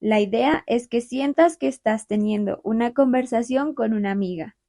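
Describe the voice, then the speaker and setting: Colombian woman, clear podcast host. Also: fairly high pitch, moderate pace, mellow, dry recording, clean studio sound. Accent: Colombian, Bogotá. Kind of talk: friendly podcast chat for Spanish learners.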